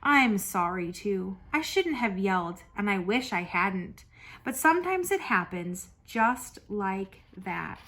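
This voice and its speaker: A woman's voice: a little high, fairly bright tone. Woman reading as a loving, exasperated storybook mother. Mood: gentle, apologetic. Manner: drawn-out, restrained scolding. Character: exasperated but loving mother in a children's picture book.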